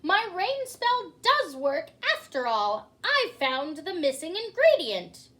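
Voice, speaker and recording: A woman's voice: very high voice, wildly swooping pitch, slow, a bit bright, pitched above the performer's own voice, heavily acted. A woman voicing an angry, gloating male magician from a storybook, boastful and roaring. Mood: gleeful, boastful.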